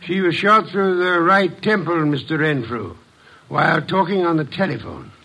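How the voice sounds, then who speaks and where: An actor in a 1950s radio drama playing a shrewd, weary, imperious middle-aged detective who speaks deliberately and pompously. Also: male voice, a little high, lively intonation, gravelly, bright, forceful tone, heavily acted, rough recording. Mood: accusing, composed. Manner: deliberate, pompous, theatrical speech.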